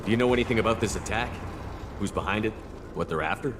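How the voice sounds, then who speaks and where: A man's voice: fairly low pitch, fast talker, natural voice. A man playing a terse, direct military commander in a video game. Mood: probing. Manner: direct, clipped questioning. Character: terse, questioning male military commander seeking answers. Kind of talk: video game character acting. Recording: noisy battle ambience behind.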